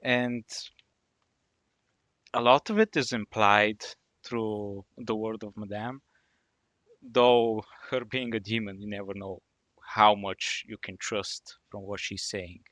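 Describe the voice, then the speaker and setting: A man co-hosting a podcast, asking questions in a measured, halting way with many uhs. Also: on the low side, fairly even intonation, a bit bright, no echo. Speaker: podcast co-host. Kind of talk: casual podcast interview questions.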